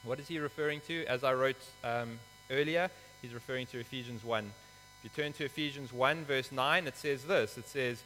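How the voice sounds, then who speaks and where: A man's voice: mid-range voice, bright, forceful tone. Guy preaching in church, earnest, lots of ums, faint mains hum in the background. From South Africa. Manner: earnest, explanatory, with hesitant fillers. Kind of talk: sermon with scripture reading. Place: church hall. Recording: reverberant hall with mains hum.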